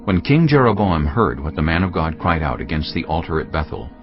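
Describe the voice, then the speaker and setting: A man's voice: very deep voice, very animated, fairly quick. A man narrating a dramatised audio Bible as a solemn storyteller, reading from a script. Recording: muffled audio under prominent music.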